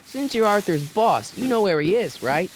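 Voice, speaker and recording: A lively young game hero. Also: male voice, high voice, normal speed, a little hiss or hum, low-quality recording. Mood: inquisitive. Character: young man, polite, inquisitive game hero. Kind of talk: video game dialogue acting.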